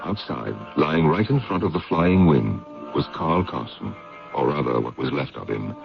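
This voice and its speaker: A deep-voiced, earnest investigator with a gravelly edge and lively intonation. He speaks seriously, in old radio drama style, on a dull recording with music behind. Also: normal speed, heavily acted. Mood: grave, narrating. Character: sober male investigator narrating his own case, earnest and considerate. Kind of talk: old-time radio drama narration and dialogue.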